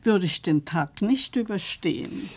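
Radio play role: witty, crotchety old society lady, grand and mannered, mid-pitched. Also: expressive, a little slow, darkish tone. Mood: haughty, grumbling. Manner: haughty, mannered, sprinkles French phrases. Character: witty, grumpy old aristocratic English lady. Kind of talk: German radio drama acting.

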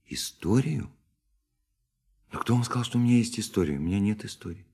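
Radio drama, awkward solitary dreamer man: deep, husky voice, earnest and flustered, halting delivery. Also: animated, mellow. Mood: defensive, wistful. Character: shy lonely male dreamer, awkward, earnest and tender. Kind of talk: Russian radio play acting.

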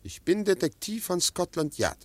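An actor playing a stern, dutiful detective in a German radio play, formal and commanding. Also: male voice, high-pitched, very animated, normal speed, a bit bright. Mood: stern, official.

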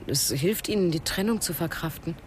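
A woman's voice: lowish voice, expressive, moderate pace, lightly acted. An actress playing an earnest, intense art lecturer and mother in a naturalistic radio drama. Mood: guarded. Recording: loud vehicle cabin noise behind. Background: airplane cabin drone.

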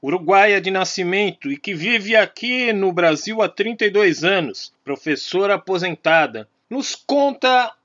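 A man hosting a podcast, giving a measured, deliberate scripted introduction of his guest. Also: high-pitched, animated, fairly bright tone. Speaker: podcast host. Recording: dull lo-fi recording.

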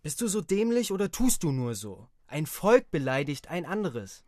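A sharp-witted young soldier in a radio drama. His voice is fairly high and closely recorded, debating with a superior air. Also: very animated, moderate pace, dry recording, studio quality. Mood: condescending. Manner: argumentative, condescending. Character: Kropp, clever young soldier, superior, questioning.

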